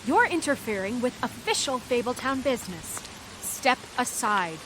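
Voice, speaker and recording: A woman's voice: on the high side, lively intonation, normal speed, background noise, lightly acted. A woman voicing a stern, principled leader in a video game, firm and authoritative. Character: stern, principled woman newly in charge of an office.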